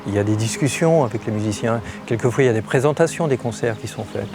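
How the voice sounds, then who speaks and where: A man's voice: medium pitch, fast. A man in a formal, reflective interview about hosting musicians. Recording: music bed under voice.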